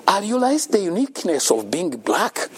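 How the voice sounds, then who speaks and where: A man's voice: sits high, huge pitch swings, moderate pace, husky. A man from Uganda, giving an earnest faith-based talk about being thankful to God and often laughing between his points. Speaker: speaker at a children's home.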